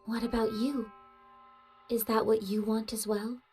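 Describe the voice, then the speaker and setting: A woman's voice: on the high side, level tone, measured pace, a little mellow, soft background music. A woman voicing a composed, self-assured young squad leader in a video game, cool and probing.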